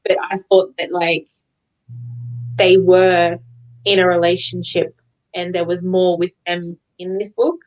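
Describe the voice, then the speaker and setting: A woman's voice: on the low side, flat delivery, measured pace, long pauses, darkish tone, rough recording. Giggly woman in casual book chat. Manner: giggly, often laughing while talking. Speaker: book club co-host. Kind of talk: casual chatty book discussion.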